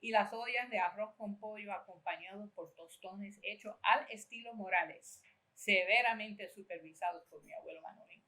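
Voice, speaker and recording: An older woman from Puerto Rico reads her own writing aloud as a keynote speaker in a university amphitheater. Her delivery is measured and lyrical, easing back and forth between Spanish and English, with a voice of ordinary pitch for a woman. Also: pushed and bright, low-quality recording. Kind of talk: reading aloud from her own memoir-style prose.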